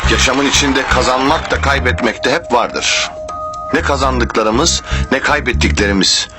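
Casting: man as gracious adult musician guest, medium pitch, measured and philosophical, cartoon, music behind. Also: natural voice. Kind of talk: animated cartoon dubbing.

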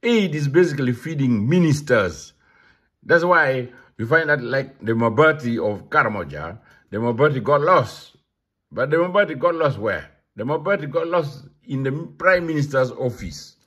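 A man's voice: medium pitch, expressive, moderate pace, a bit bright, breathy edge. A man with a Ugandan accent speaking in a casual monologue, emphatic and given to repeating himself. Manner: repetitive, emphatic. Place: London.

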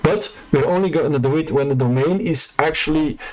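A man's voice: a little high, a little fast, poor audio. A man talking through a screen-recorded coding tutorial in a casual, unscripted way, with frequent fillers and restarts. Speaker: web developer tutor.

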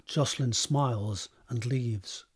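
A man's voice: medium pitch, takes it slowly, slightly rough. A man narrating stage directions for an audio drama in a scripted reading style.

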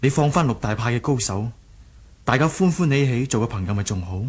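A man playing an earnest, gentle young rebel leader in a radio drama, sincere and a little hesitant. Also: mid-range voice, expressive, brisk, breathy edge. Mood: gentle, pleading. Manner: sincere, hesitant, soft-hearted. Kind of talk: Cantonese 1980s radio drama acting.

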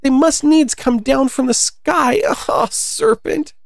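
A woman's voice: high-pitched, expressive, takes it slowly, gravelly, no echo, studio quality, voice pushed up, full character voice. A woman voicing a frantic, scolding mother pigeon from a storybook, with desperate, shrieking complaints. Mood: shrill, exasperated. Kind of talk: storybook character voice.

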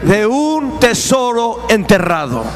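A man interpreting a preacher's sermon phrase by phrase in a church, his voice high and pitched to match the preacher's fervor. He delivers quick bursts of speech. Music is clearly heard in the background. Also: sing-song.

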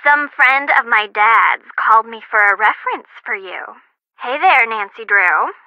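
An actress playing a cheeky young criminology student in a video game, breezy and wry, through a telephone filter. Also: female voice, a little high, lively intonation, a little slow, bright, forceful tone, dry recording, exaggerated voice. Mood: dry, offhand. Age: young adult. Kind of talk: video game character acting over the phone. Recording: muffled phone-like sound.

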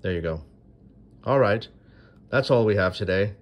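A man hosting his own coin video, narrating an unboxing from off camera in a dry, self-deprecating way with unhurried pauses. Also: on the low side, lively intonation, dull audio. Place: indoors at a marble tabletop. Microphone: phone camera held over a table.

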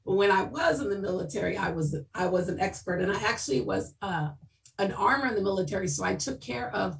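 Woman mayor, lively and laughing, gravelly voice, distant call audio. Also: medium pitch, fast talker, slight background noise. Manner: lively, laughs while talking. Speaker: panelist, mayor. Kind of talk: casual panel talk. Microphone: video call.

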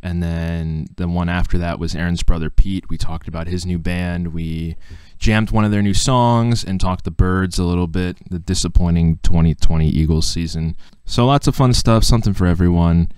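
A man's voice: sits low, normal speed, clear, resonant tone, no room sound. A man in his twenties hosting a podcast over a video call, chatting casually and rambling with lots of "like"s. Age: 20s. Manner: relaxed, rambling with many "like"s. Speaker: podcast host. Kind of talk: casual chat.

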